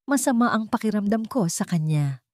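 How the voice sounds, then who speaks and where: A woman's voice: medium pitch, lively intonation, moderate pace, a little mellow, no echo, studio quality, voice pushed up, natural voice. A woman voicing an uneasy, distrustful child in a story, plaintive and wary.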